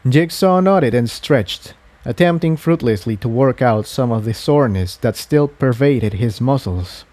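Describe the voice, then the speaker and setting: A man reading an adventure story aloud as narrator, in a measured, scripted storytelling style. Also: medium pitch, expressive, a little mellow, dry recording. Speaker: narrator, audiobook reader.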